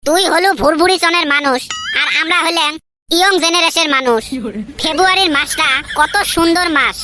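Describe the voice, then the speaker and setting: A man's voice: very high voice, moderate pace, music in the background. A man voicing a cheeky, whiny young son who argues for money in a comedy cartoon, with a northern Bengal accent. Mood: cheeky, defiant. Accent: northern Bengal (Cooch Behar region). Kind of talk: comedy cartoon voice acting in rural dialect.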